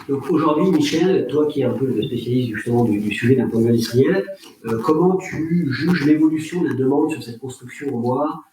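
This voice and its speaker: A man's voice: mid-range voice, background noise. Guy moderating a live panel, informal and rambly, far from the mic, super echoey room. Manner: chatty, informal, digressive. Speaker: host, moderator. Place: office.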